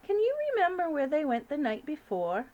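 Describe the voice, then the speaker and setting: A woman voicing a commanding, brisk queen who speaks in a crisp, imperious way. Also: high-pitched, very animated, mellow, lo-fi sound, pitched above the performer's own voice.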